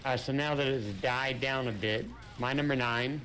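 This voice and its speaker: A man's voice: medium pitch, steady tone, moderate pace, fairly bright tone, a little hiss or hum. A man co-hosting a gaming video in casual chat, rambling as he thinks aloud and repeats his phrases.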